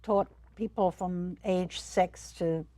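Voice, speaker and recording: A woman's voice: lowish voice, steady tone, slow pace, mellow, no echo. An older English woman with an English accent, an art teacher talking with enthusiasm in a studio interview, full of ums and you knows. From England. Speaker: art academy co-founder.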